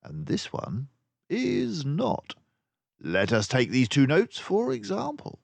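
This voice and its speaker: A man's voice: a little high, huge pitch swings, slow pace, creaky, a little mellow, no echo, voice pushed up, exaggerated voice. A man voicing a clever, confident mouse detective from a storybook, with an emphatic, precise, lecturing manner. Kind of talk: storybook character voice.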